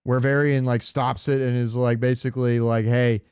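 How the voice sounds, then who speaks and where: A man's voice: medium pitch, level tone, moderate pace, darkish tone, clear, resonant tone, dry recording, clean studio sound. A man co-hosting a podcast, chatting casually and informally about games, emphatic and argumentative. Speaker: podcast co-host. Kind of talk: casual gaming chat.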